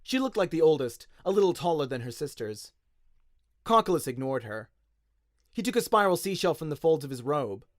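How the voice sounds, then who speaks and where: A man with an Australian accent giving an expressive, dramatic audiobook narration in radio-play style. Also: fairly high pitch, normal speed, dry recording, clean studio sound. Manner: expressive storytelling.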